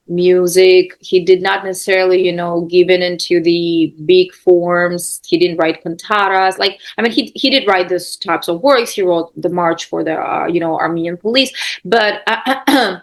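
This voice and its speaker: A woman musician answering questions in a spontaneous, thoughtful way, halting and repeating phrases for emphasis as she explains. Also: lowish voice. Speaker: musician and guest lecturer on a composer.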